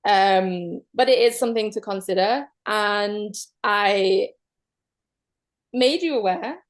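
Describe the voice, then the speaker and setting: Mancunian woman telling her story. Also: medium pitch. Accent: Mancunian English. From Manchester, UK. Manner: open, measured, many soft "um"s. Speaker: guest. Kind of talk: casual personal storytelling.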